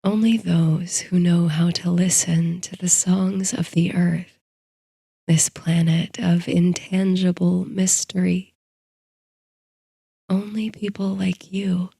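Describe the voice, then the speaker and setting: A woman narrates a bedtime story in a hushed, soothing voice with breathy softness. Her delivery is very slow and even, with little rise or fall in pitch. The tone is dark and mellow, suited to guided meditation. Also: dry recording, poor audio. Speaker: host and narrator of a sleep story series.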